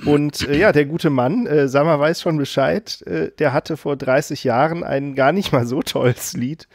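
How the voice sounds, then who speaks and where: Gravelly, rambling German podcast host. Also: male voice, fairly high pitch, moderate pace, dry recording, studio quality. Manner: rambling, laughs often, many fillers. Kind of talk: casual podcast chat.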